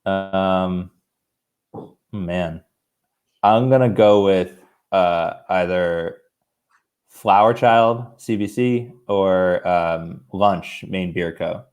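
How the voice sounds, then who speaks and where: A man's voice: low voice, drawn-out words, pauses often, darkish tone. A man talking casually as a brewery guest on a video call, rambling with lots of fillers and restarts.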